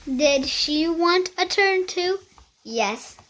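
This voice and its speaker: A child reading a picture book aloud in a careful, halting beginner's way, with music behind. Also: very high voice, expressive, a bit bright.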